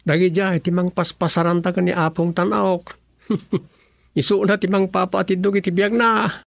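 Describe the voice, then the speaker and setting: A man's voice: high-pitched, flat delivery, moderate pace, creaky. Earnest, questioning Ilocano village councilman. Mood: insistent. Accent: Filipino, Ilocano. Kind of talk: Filipino radio comedy drama acting.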